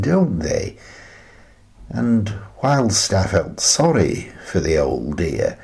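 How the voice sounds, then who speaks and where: An actor playing a wry, contented older recluse who muses in a chatty, gently amused way in a radio play monologue. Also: male voice, fairly low pitch, creaky, full character voice.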